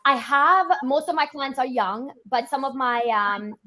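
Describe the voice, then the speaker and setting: Young woman from Europe, financial planner; casual talker, fast and fillers-heavy, a bit high-pitched. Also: bright, forceful tone. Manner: quick, chatty, many "like" fillers. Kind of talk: casual interview chat.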